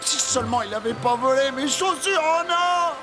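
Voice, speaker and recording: High-pitched, vain little cartoon beetle played by an actor. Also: moderate pace, natural voice. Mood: bitter. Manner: boastful, theatrical, exclamatory. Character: vain, dramatic little scarab beetle, self-proclaimed hero. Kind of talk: animated cartoon dubbing. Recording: music behind the voice.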